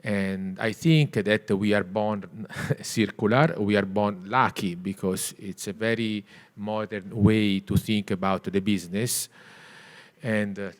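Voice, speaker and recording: Italian-accented middle-aged man, non-native English, slow hesitant delivery, low register. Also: fairly even intonation. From Italy. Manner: hesitant, many filler sounds, searching for English words. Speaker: CEO of a textile mill, panel guest. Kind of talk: panel discussion. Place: retail store event space.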